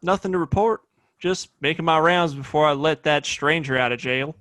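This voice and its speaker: A man's voice: fairly high pitch, normal speed, fairly bright tone, dry recording, close to an everyday voice. Man playing a swaggering Old West sheriff, dry sound. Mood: offhand. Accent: American. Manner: smug, domineering, folksy drawling phrasing. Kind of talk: Western audio play acting.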